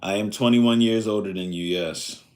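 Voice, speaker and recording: A man's voice: lowish voice, moderate pace, darkish tone. A man in his thirties chatting casually on a live stream, rambling and reflective, with lots of fillers. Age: 30s.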